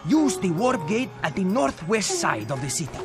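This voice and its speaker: High snarky bird character, vain n impatient, huge pitch swings, video game, music behind. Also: male voice, very animated, moderate pace. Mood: impatient, dramatic. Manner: haughty, impatient, theatrical. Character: irritable, vain, sardonic talking bird who interprets for a soothsayer. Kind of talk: animated video game acting.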